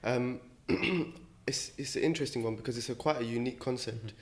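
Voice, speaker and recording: A man hosting an interview podcast, a bit croaky from a cold, talking in a relaxed way with many ums. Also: mid-range voice, fairly quick. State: a bit croaky, under the weather. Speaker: podcast host. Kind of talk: casual interview chat.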